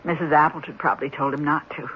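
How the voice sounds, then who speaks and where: An actress playing a sympathetic, concerned wife in a 1970s radio drama, speaking gently. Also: female voice, deep voice, steady tone, quick pace. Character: sympathetic wife, soft-hearted about a foster child. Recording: muffled, noisy old radio broadcast.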